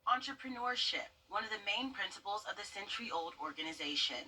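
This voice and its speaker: Woman, news reporter, scripted read, very bright tone, faint noise. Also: mid-range voice, lively intonation, a little fast, far from the mic, poor audio.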